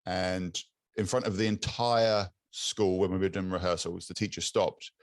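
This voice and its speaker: A British man with a low, raspy but clear voice, chatting as a podcast guest over a video call and often repeating words as he searches for them. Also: fairly even intonation, normal speed, dry recording, clean studio sound. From England. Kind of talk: casual interview chat.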